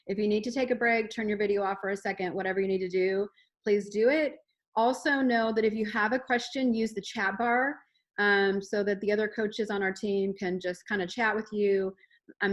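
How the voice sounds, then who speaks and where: A woman's voice: medium pitch, a bit bright. An upbeat woman fitness coach hosts an online workshop. She talks in a chatty, encouraging way with plenty of fillers, over a video call. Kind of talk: casual workshop talk.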